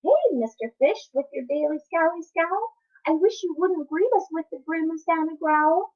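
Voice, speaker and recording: A woman voicing a gentle female jellyfish in a storybook, chiding a friend in rhyme. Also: very high voice, measured pace. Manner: chiding, rhyming. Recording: dull lo-fi sound.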